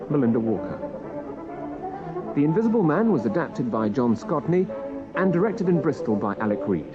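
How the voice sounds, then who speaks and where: A man's voice: medium pitch, muffled recording. A man announcing radio drama credits in a formal scripted style. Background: music.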